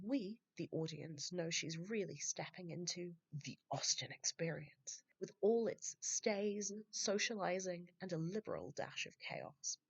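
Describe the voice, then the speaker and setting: A lower-pitched woman with a husky, gravelly edge and a congested, nasal tone from a lingering cold. She hosts a podcast, reading prepared passages and wandering into chatty asides. The recording is dull and lo-fi. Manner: rambling, chatty asides between read passages. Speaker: podcast host. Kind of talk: partly scripted podcast monologue.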